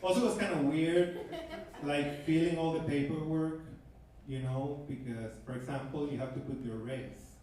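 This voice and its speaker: A man in his thirties from Venezuela with a Venezuelan Spanish accent, doing an animated stand-up comedy set on a club stage with a handheld mic. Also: on the high side, moderate pace. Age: 30s. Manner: animated, shouting punchlines. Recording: echoey club from far off, noisy.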